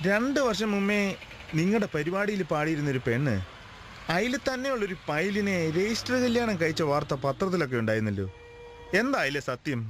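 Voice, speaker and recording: High-voiced, talkative driver. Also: male voice, very animated, brisk, fairly bright tone. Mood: chatty. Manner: chatty, colloquial. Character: talkative, familiar male driver, irritable on the road. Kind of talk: radio drama dialogue. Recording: vehicle noise behind voice. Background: moving vehicle ambience.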